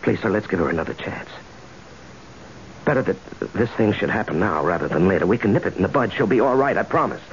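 An actor playing an anxious, pleading company man and husband in an old-time radio drama, earnest and halting. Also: lowish voice, expressive. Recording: hissy, muffled old radio broadcast.

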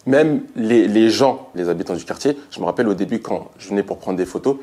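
A man in his thirties from France, a photographer speaking in a colloquial, emphatic and animated way. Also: on the low side, a little fast. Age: 30s. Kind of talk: documentary interview testimony.

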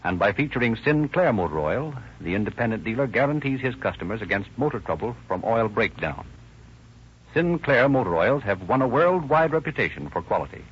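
A man's voice: medium pitch, big pitch swings, a little fast. A man reading a persuasive sponsor announcement on a 1930s radio show, with a muffled old recording sound. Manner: smooth persuasive sales read.

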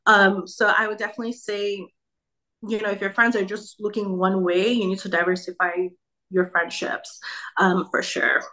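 Podcast guest: young woman from Nigeria, crisp bright tone, loose chatty delivery. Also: medium pitch, level tone, moderate pace, rough recording. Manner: chatty, many fillers like "you know" and "like". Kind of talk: casual conversation.

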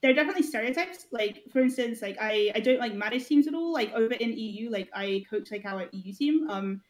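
A woman's voice: a little high, lively intonation, fast talker. A woman guest on a podcast, an esports founder and coach, speaking candidly in a rambling way full of "like" fillers and hesitant restarts. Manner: candid, blunt at times. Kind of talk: casual podcast conversation.

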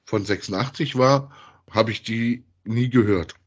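A man with an average-pitched, slightly husky voice talks casually, as on a hobby podcast. He rambles in everyday language and often tacks little tag questions onto his phrases. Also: unhurried. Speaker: podcast host. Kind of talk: casual conversational review of audio dramas.

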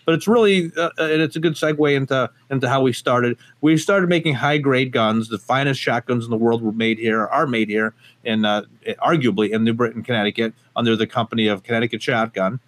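A man's voice: medium pitch, a little fast, hardly any pauses. A man born in Portugal and raised in the United States, a guest on a casual podcast interview, who talks emphatically with many fillers and restarts. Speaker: gun company guest.

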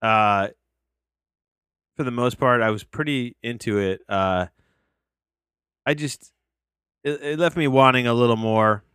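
A man's voice: medium pitch, a little slow, long pauses, fairly bright tone, clear, resonant tone, no echo, studio quality. Rambling, profane man reviewing films. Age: 30s. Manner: rambling, profane, self-interrupting. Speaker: podcast host. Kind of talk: casual film review. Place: home, tree crew working outside. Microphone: headphones on, home recording.

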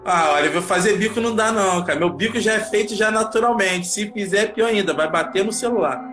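A man's voice: high-pitched, fairly quick, music in the background. A man co-hosting a casual live chat, joking around and talking in a playful, slangy way.

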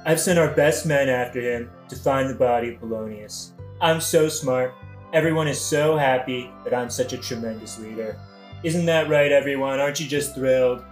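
A man's voice: medium pitch, steady tone, moderate pace, lightly acted. An actor playing a boastful, peevish king with a pompous, superlative-heavy way of talking in a satirical audio play. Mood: smug, boastful. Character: boastful, self-congratulating, peevish modern-slang king. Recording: roomy, distant mic, music behind.

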